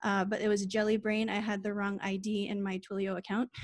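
A woman giving a casual screen-share coding demo on a video call, informal and quick, with small laughs and self-corrections. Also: medium pitch, level tone, no room sound. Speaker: lightning talk speaker.

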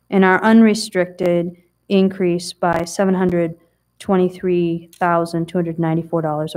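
A woman's voice: lowish voice, darkish tone. Woman explaining town finances at a meeting. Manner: plain explaining, chatty asides, frequent "um" and restarts. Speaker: town finance officer presenting audit. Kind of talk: explanatory budget presentation. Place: council chamber, seated at table.

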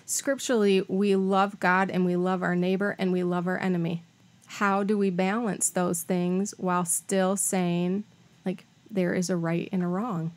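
A woman's voice: fairly low pitch, little pitch movement, measured pace. A woman speaking as a podcast guest on faith, in a thoughtful, conversational way.

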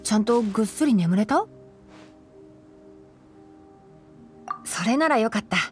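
A woman playing a calm, knowledgeable young male forest ranger in an anime game, explaining things in a composed, gently teasing way. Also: on the high side, quick pace, soft background music, close to an everyday voice. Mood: kind, attentive. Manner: explanatory, composed, lightly dry. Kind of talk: Japanese anime game voice acting.

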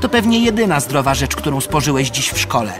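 A man's voice: mid-range voice, big pitch swings, brisk, lightly acted. An actor voicing an earnest, polite young male piglet in a cartoon, who also narrates. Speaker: narrator and lead figure. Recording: studio cartoon audio with music behind.

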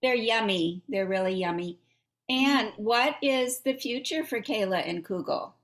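A woman's voice: a little high, expressive, a little slow. An older woman moderating a casual video call, asking questions. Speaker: story time moderator. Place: bright home living room, indoors. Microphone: computer mic on video call.

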